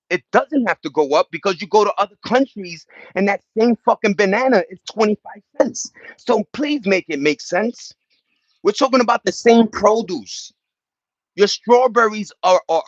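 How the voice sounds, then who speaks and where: Passionate American man, higher-pitched, muffled recording. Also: animated, normal speed, no room sound. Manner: passionate, emphatic, slangy street talk. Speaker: livestream host reading and answering viewer chat. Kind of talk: casual rambling livestream chat.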